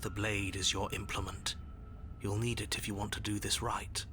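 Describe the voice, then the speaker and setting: An actor playing a stern narrator in a visual novel, measured and condescending, evasive and insistent. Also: male voice, lowish voice, little pitch movement, pushed and bright. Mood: solemn narration. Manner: measured, condescending, exasperated delivery. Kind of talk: scripted game narration. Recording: music behind the voice.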